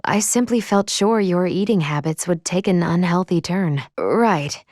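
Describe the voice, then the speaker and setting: A woman voicing a composed female android in a video game, speaking formally and precisely with a measured, deadpan delivery and dry care. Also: on the low side, dry recording, lightly acted. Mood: composed, concerned. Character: composed female android crew member, formal and dryly caring. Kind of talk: scripted video game voice acting.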